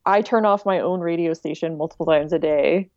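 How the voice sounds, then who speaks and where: Mellow woman answering casually, slightly dull sound. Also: fairly low pitch, brisk, no room sound. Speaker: podcast guest.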